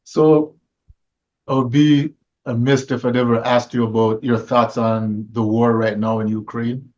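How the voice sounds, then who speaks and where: A man's voice: mid-range voice, little pitch movement, a little slow, a little mellow, lo-fi sound. A man hosting an interview, asking short questions and offering brief acknowledgements. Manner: brief prompts and backchannels. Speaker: interview host.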